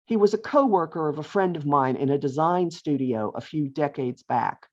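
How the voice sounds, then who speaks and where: A woman's voice: sits low, lively intonation, normal speed. Mature woman reading aloud, slightly muffled audio. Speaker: author reading from own book. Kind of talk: expressive reading aloud of a humorous essay. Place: virtual author talk over video call. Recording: dull, lo-fi online call audio.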